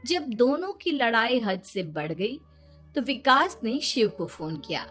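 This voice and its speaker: Bright female children's story narrator. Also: a little high, big pitch swings, unhurried, soft background music.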